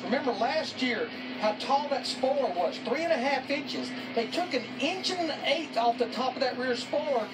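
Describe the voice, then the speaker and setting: A man's voice: very high voice, rough recording. A man giving an explanatory technical breakdown of race car aerodynamics on television. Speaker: technical analyst.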